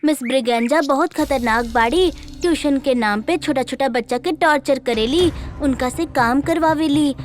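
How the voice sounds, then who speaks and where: Woman playing a high-pitched fearful little cartoon boy. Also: moderate pace, close to an everyday voice. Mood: fearful warning. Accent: Bhojpuri. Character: fearful, resigned little schoolboy. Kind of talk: animated cartoon dialogue.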